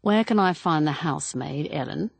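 A woman's voice: low-pitched, lively intonation, gravelly, breathy edge, no room sound, exaggerated voice. A woman voicing a reserved, formal male police inspector in a storybook reading. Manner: cautious, measured, formal.

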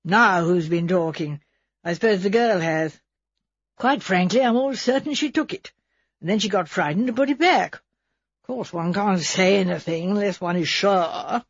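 A woman voicing a gruff, abrupt English spinster of fifty who talks in brisk, opinionated, clipped sentences. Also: mid-range voice, no room sound, lo-fi sound. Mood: self-important.

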